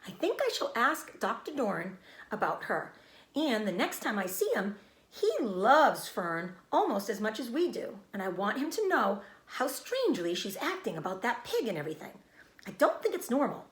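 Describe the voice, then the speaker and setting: Storybook mother, woman reader: skeptical, fretful, insistent, lively intonation, roomy recording. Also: on the high side, moderate pace. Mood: worried, insistent. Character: worried, skeptical mother of a young girl.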